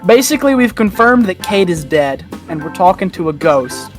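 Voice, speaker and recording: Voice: mid-range voice, big pitch swings, normal speed. A person chatting casually on a podcast panel, riffing and speculating in a joking, rambling way. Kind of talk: casual joking group chat. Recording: close dry voice over guitar music. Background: guitar music.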